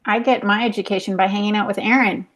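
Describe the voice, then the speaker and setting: A woman's voice: medium pitch, fairly even intonation, fast, a bit bright. A woman speaking as a podcast panelist in a casual, conversational style. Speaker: podcast panelist, aspiring novelist. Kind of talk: casual chat.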